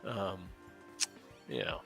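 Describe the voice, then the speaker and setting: A man's voice: very slow, bright, forceful tone, faint music behind. A man from Dallas, a business owner, talking as a guest in a casual interview and hesitating often as he talks. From Dallas, Texas. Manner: hesitant, many fillers and restarts.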